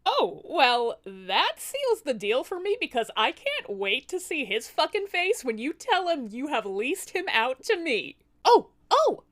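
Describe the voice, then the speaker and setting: Casting: woman as sweet-toothed, gleeful storybook demoness, brash and mocking, high voice, big swoops. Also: huge pitch swings, fairly bright tone, exaggerated voice. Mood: gloating glee. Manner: brash, mocking, drawn-out exclamations. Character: jealous, foul-mouthed, gleeful tree demoness with sweet tooth.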